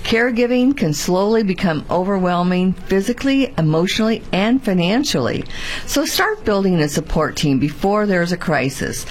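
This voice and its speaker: A woman's voice: medium pitch, expressive, normal speed, slight background noise, rough recording. A woman, co-hosting a radio show with prepared, presentational delivery.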